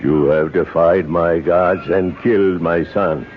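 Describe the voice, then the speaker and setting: An actor playing a grieving native man in a radio drama, outwardly polite and secretly vengeful, speaking in slow, deliberate, formal phrases. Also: low-pitched, fairly even intonation, soft background music. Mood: bitter, menacing. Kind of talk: 1970s radio drama acting. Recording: noisy, dull old radio broadcast.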